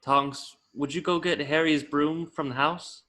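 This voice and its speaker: A man playing a composed, protective wizard guard in an amateur script read, measured and instructing. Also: fairly high pitch, steady tone, bright, forceful tone. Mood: calm, instructing.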